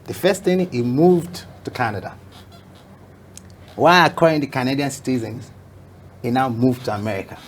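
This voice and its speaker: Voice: mid-range voice, normal speed, long pauses, slight background noise. A talk show guest telling an emphatic story, often repeating phrases for effect. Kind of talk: motivational talk show conversation.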